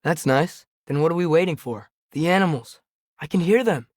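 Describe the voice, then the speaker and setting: A man's voice: fairly high pitch, normal speed, no room sound, clean studio sound, natural voice. A young male actor with an American accent playing an earnest, curious teenage boy adventurer in a video game, with a light youthful voice and casual, observant delivery. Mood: thoughtful, curious. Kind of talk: video game companion dialogue.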